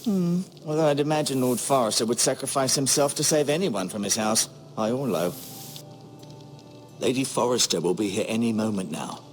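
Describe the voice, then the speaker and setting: A man playing an older, learned healer in a fantasy video game, speaking in a measured, formal, musing way. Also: medium pitch, soft background music, close to an everyday voice. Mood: probing. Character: older learned castle maester, grave, opinionated, gently bedside. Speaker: maester healer. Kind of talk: video game drama acting.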